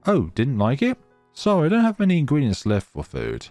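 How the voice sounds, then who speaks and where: A man's voice: mid-range voice, wildly swooping pitch, brisk, darkish tone, no room sound, faint music behind, voice pushed up. A man voicing a polite, reserved young woman in a visual novel, courteous and matter-of-fact. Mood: apologetic.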